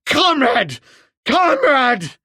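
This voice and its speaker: A man voicing a burly, desperate German officer who cries out in a high, pleading voice. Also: big pitch swings, extremely slow, pushed and bright, breathy edge, dry recording, clean studio sound, heavily acted. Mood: screaming.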